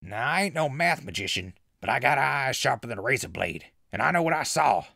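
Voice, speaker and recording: Folksy wasteland hermit, played by a man, swooping pitch. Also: sing-song, a little fast, gravelly, pushed and bright, dry recording, studio quality, full character voice. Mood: folksy, sure of himself. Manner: drawling backwoods talk, chummy. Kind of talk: comic post-apocalyptic audio drama acting.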